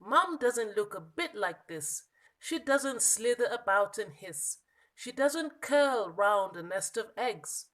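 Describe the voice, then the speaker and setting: Lady doing a little storybook monkey kid, lost n exasperated, high bright voice, stretches words out. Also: lively intonation. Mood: exasperated protest. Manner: emphatic, drawn-out, childlike protesting. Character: small lost young monkey, plaintive and easily exasperated. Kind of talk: children's storybook character reading.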